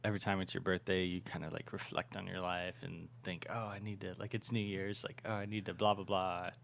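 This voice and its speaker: Gravelly low-voiced man in his thirties. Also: brisk, a little hiss or hum. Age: 30s. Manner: joking, laughing, relaxed storytelling. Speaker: podcast co-host, brewery owner. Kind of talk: casual chat. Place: small studio room. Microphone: large studio mic on boom arm.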